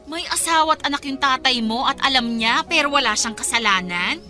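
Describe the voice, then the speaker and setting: A woman playing an indignant, jealous, sharp-tongued partner in a Filipino radio drama. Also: high-pitched, brisk, faint music behind.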